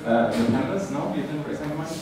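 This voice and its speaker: A man's voice: a little high, a crowd in the background. Moderator, male, hesitant with lots of restarts, picked up from afar with heavy room echo and hum. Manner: halting, many ums and restarts. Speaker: panel moderator. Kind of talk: hesitant panel hosting. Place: event room with audience. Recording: echoey room, distant mic, background noise.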